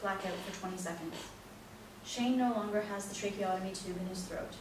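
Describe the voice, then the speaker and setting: A woman's voice: mid-range voice, a little fast. A woman narrator reading out the stage directions of a play as scripted narration. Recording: very echoey, distant, noisy room recording.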